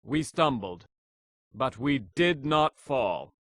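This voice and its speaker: A resolute male president addressing the nation in a game cutscene. His mid-pitched voice is solemn and oratorical, with deliberate emphasis, recorded dry and without room sound. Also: lively intonation, no room sound. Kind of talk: formal televised political speech.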